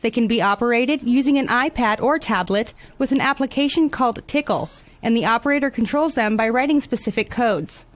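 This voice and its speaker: A woman's voice: on the high side, fairly quick. An American woman narrating a scripted local TV news report as a reporter.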